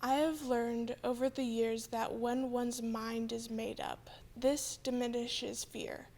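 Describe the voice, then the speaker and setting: A woman's voice: a little high, level tone, slow, creaky, darkish tone. A woman, a church member, reading quotations aloud in a solemn, scripted way.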